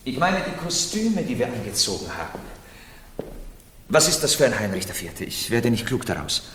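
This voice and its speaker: Indignant, bewildered male newcomer played by an actor, 1970s radio play, lively intonation, reverberant room. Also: medium pitch, normal speed, noisy background, close to an everyday voice. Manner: complaining, bewildered. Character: flustered, indignant newcomer hired as a costumed courtier.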